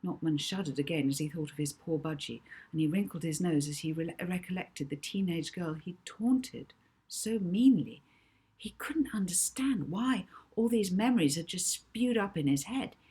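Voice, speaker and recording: A woman author reading her children's novel aloud with dramatic, deliberate emphasis. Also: sits low, warm tone, slightly rough. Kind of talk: expressive children's audiobook narration.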